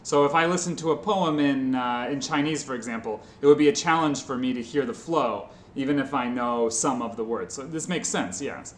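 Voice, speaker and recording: A man's voice: medium pitch, a little fast, hardly any pauses. A middle-aged man interviewing a guest on a video call, talking in a rambling, encouraging way with many fillers. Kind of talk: casual conversation. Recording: roomy with faint noise.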